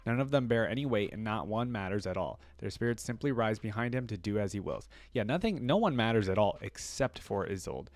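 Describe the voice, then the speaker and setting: Male reaction host, lowish voice, very dry recording, narrating fantasy lore with casual asides. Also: lively intonation, a little fast, few pauses, darkish tone, no echo. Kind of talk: reading game lore aloud with casual commentary.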